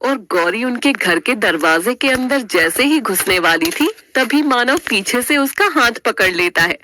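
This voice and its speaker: A woman's voice: on the high side, animated, measured pace, pushed and bright. A woman storyteller giving a dramatic scripted narration of a family story.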